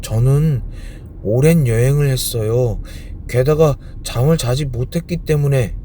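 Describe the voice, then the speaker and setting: Man voicing a timid little boy prince, raised pitch. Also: measured pace, warm tone, a little hiss or hum, lightly acted. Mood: timid, flustered. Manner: hesitant, polite, flustered. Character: timid, earnest, polite young boy prince.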